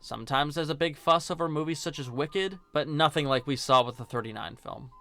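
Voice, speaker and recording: A man's voice: fairly high pitch, a little fast, fairly bright tone. A man narrating a casual, opinionated film review as host, blunt and sarcastic, swearing now and then. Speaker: host, video essayist. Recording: music behind the voice. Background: music.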